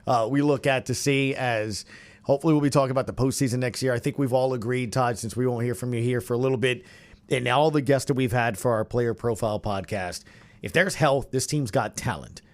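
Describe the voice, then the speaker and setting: A man's voice: mid-range voice, fairly quick. Male sports podcast host, mid-range voice. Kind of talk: casual sports talk.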